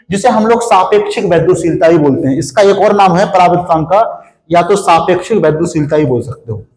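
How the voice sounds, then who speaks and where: A man's voice: high voice, a little mellow, roomy sound, low-quality recording. A young man with a sore throat, teaching a physics class in a hot studio, explaining step by step and asking students questions that he answers himself. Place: studio with a board, hot, no air conditioning.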